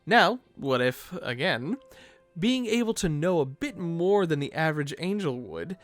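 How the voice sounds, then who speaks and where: Male narrator for a fan theory video, lively swinging intonation, slightly high voice, informal and playful, light music bed. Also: unhurried. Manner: playful, conversational asides. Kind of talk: animated, informal video essay commentary.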